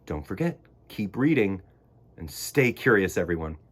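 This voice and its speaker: A man presents a storytime for children. His delivery is theatrical and earnest, with lively swings in pitch and a husky edge. He addresses his young audience directly and expressively. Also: normal speed. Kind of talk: expressive read-aloud and talk to young viewers.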